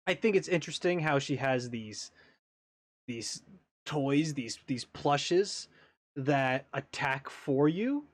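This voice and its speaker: A man's voice: medium pitch, animated, slow, no room sound. A man hosting a gaming reaction video, thinking aloud in casual, tentative, improvised commentary. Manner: tentative, musing.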